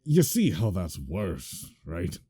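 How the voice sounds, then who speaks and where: A man voicing a blunt, exasperated dwarf who speaks slowly and bluntly. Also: low voice, wildly swooping pitch, mellow, breathy edge, full character voice. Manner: blunt and exasperated, drawn-out delivery.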